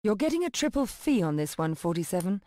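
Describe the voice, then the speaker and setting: A composed female handler from a video game, voiced by an actress, giving a mission briefing. Her voice is mellow and very dry, her manner formal and businesslike. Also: medium pitch, sing-song, fast, no room sound, natural voice. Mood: cool, businesslike.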